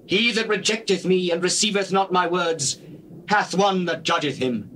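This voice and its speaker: Solemn male religious teacher, slightly high voice, authoritative, measured declamation, scripture drama, old cassette noise. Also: a bit bright. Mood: proclaiming. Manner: measured, declamatory, deliberate phrasing. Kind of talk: dramatized King James Bible reading. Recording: noisy old cassette recording.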